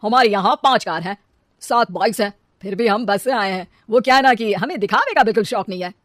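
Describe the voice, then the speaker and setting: A woman's voice: mid-range voice, lively intonation, brisk, no echo, clean studio sound, lightly acted. A woman voicing a boastful young husband in a cartoon, a shameless liar who glibly justifies himself. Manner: glib, hesitates then brazenly justifies himself. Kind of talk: animated cartoon dialogue.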